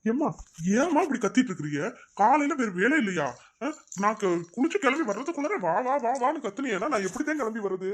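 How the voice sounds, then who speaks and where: A woman's voice: on the high side, very animated, quick pace. A woman voicing a weary young husband in a cartoon, exasperated and lecturing. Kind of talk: cartoon voice acting.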